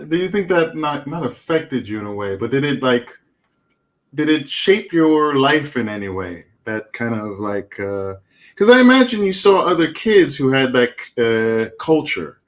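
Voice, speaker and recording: A man co-hosting a casual chat, asking curious, halting questions. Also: medium pitch, very animated.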